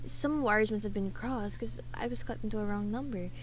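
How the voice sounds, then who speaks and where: A woman's voice: medium pitch, lightly acted. A woman playing a nervous, demanding, sickly housewife in a radio play, speaking in a fretful, imperious manner. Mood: shaken. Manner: fretful, imperious, halting when shocked.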